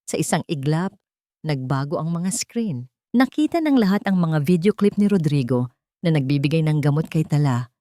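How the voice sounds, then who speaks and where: Filipino lady reading audio drama narration, low voice, solemn n measured, very dry close sound. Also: mellow, dry recording.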